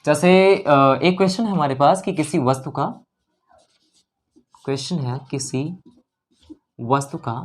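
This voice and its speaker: A man's voice: fairly high pitch, unhurried, pauses often. A man teaching an exam-prep lesson step by step, repeating phrases and mixing in English terms. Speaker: maths teacher.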